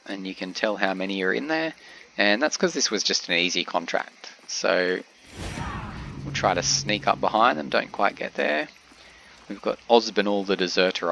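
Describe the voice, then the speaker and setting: A man with a cold, sounding a little congested, giving relaxed game commentary with frequent pauses. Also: sits low, gravelly, a little hiss or hum, poor audio. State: has a cold, slightly congested. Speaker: gameplay commentator.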